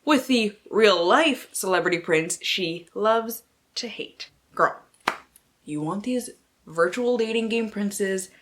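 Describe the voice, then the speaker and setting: A woman's voice: medium pitch, animated, slow, background noise. A woman book vlogger who mixes casual, slangy chat with mock-dramatic blurb reading and playful, sweary asides to herself.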